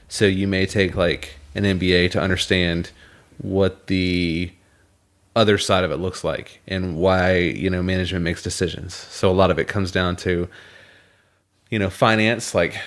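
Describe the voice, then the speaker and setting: A young man with a scratchy throat, co-hosting a casual podcast and explaining things in a measured way. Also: low-pitched, fairly bright tone. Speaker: podcast co-host.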